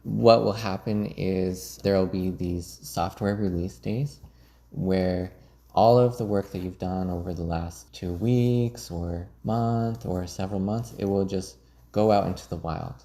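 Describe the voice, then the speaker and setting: A man answering questions in a casual interview, explaining things in a measured way. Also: deep voice, steady tone, warm tone. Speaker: interview guest working in finance IT.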